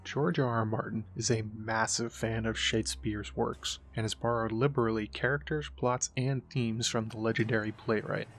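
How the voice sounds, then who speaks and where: A man with a medium-pitched voice narrates as the host of a literary explainer. His delivery is scripted and expository, laying out ideas point by point. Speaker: host of a literary video essay.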